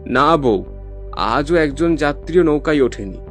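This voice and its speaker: A man acts out a poor, honest cartoon boatman with a somewhat high voice. He sounds earnest and plaintive, a humble family man from a fairy tale, with music underneath. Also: unhurried, lightly acted. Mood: sad, plaintive. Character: poor, honest, sorrowful boatman, husband and father.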